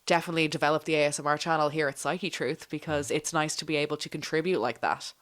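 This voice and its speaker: Woman chatting casually, lowish voice. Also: fairly even intonation, fast. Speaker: podcast co-host and ASMR channel presenter. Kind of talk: casual podcast chat.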